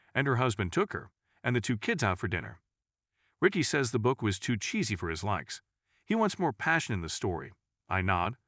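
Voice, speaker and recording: A man with a low voice reads a story in the first person, very expressively. His delivery is chatty and wry, dropping sarcastic asides as he goes. The sound is dry and close, with no room around it. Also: a bit bright, no room sound. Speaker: storyteller reading a crime story. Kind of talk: scripted first-person narration.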